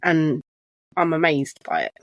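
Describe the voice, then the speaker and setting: A soft-spoken woman co-hosting a chatty podcast from her sofa at home, talking with frequent restarts. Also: deep voice, sing-song, measured pace, no echo. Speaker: podcast co-host. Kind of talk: casual chat. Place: living room sofa at home. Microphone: shared desk microphone.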